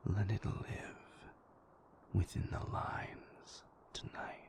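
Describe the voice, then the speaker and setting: A man playing a moody, brooding vampire in an intimate audio roleplay, hushed and gravelly, speaking deliberately with weary, dry wit. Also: in a whisper, natural voice. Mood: brooding, troubled. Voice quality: breathy, hushed, gravelly low voice. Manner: slow, deliberate, formal wording, dryly wry.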